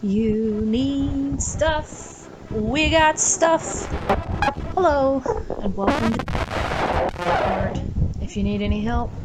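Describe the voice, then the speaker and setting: A woman playing a deadpan, curt, unhelpful store announcer in a mock radio advertisement for an audio drama. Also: a little high, drawn-out words, pauses often, very noisy. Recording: loud music and bangs behind voice.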